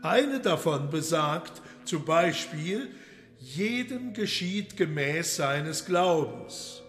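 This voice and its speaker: An actor in a German radio play voicing a suave, courteous but menacing devil lord with a ceremonious, deliberate manner. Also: male voice, on the high side, animated, exaggerated voice. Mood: solemn, mock-gracious. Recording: long hall reverb under loud music.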